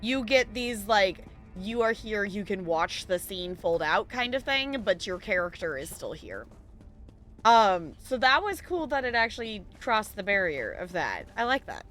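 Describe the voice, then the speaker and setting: A woman chats playfully as she streams a game. Her voice is bright and very lively in pitch, and her style is casual. Faint music plays underneath. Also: measured pace. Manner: playful, chatty, giggly. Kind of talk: casual live gameplay commentary.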